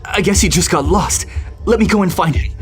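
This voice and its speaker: A man playing a sorrowful, earnest father, a fallen god, in an animated bedtime story. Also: high voice, brisk, faint music behind, close to an everyday voice. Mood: remorseful.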